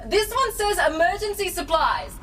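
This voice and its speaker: A woman's voice: very high voice, sing-song, normal speed. An actress playing a laid-back, wisecracking female starship crew member, irreverent and breezy, in a comedic sci-fi audio drama. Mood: sheepish, joking.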